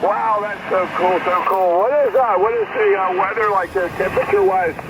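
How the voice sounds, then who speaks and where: An American man chatting casually and excitedly as an amateur radio operator, friendly and effusive. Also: high voice, fairly quick, heavy background noise. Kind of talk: casual enthusiastic chat and radio exchanges.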